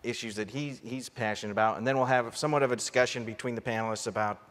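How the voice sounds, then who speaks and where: A man moderating a conference panel, laying out the order of speakers in a relaxed, low-key way. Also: racing. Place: large conference hall. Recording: long hall reverb.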